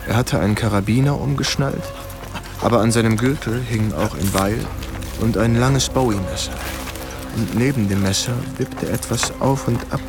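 Actor as earnest army lieutenant, music behind. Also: male voice, fairly low pitch, normal speed, close to an everyday voice. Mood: sober, recollecting. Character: sober, bewildered army lieutenant and writer recalling the war. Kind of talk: radio play narration and dialogue.

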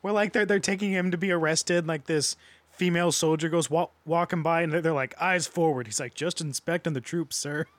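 Casual man, slightly high voice, dry sound. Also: steady tone, a little fast, dry recording. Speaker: podcast co-host. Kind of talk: casual panel discussion.

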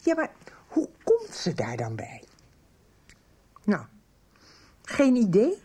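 A woman's voice: mid-range voice, wildly swooping pitch, slow, dark tone, husky, heavily acted. An actress playing Anneke, an aggrieved, impatient middle-aged wife and mother in a Dutch radio soap, insistent and indignant. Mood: indignant, accusing.

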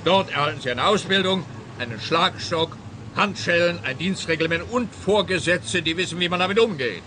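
A man's voice: sits high, moderate pace, noisy background, close to an everyday voice. An actor playing a pompous, pedantic policeman who lectures with condescension in a comic radio play. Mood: scornful. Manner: condescending, lecturing, self-important.

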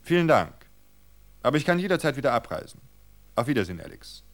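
A man's voice: medium pitch, sing-song, fast talker, voice pushed up. A man voicing a courteous but wounded and insistent suitor, with a formal, pointedly polite way of talking. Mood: hurt, stiff.

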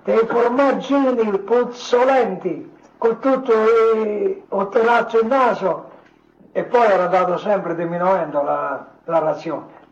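An elderly man, a grandfather, informally recounting his memories as a veteran in short, halting phrases. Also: high voice, reverberant, lo-fi sound. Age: older, a grandfather. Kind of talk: informal spoken reminiscence.

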